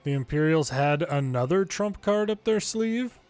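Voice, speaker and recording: Guy doing a dramatic read of game cutscene lines, voice a bit high, music in the back. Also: expressive, moderate pace, a little mellow. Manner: acts out each character's lines in turn. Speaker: video game longplayer reading the story aloud.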